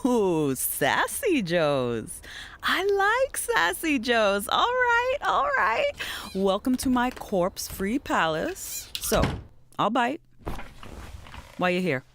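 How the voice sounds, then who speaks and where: A cynical, easygoing woman who deals drugs in a small town. Her speech is slow and animated in pitch, full of blunt slang and teasing philosophy, in a naturalistic audio drama style. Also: a little hiss or hum, full character voice. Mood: casual. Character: laid-back, teasing, cynical female small-town drug dealer.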